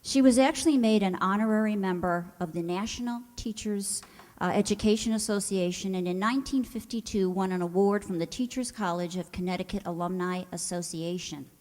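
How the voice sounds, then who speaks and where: A woman's voice: mid-range voice, a little fast, darkish tone. A woman presenter giving an informal spoken introduction to an audience. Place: hall, before an audience. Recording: echoey hall.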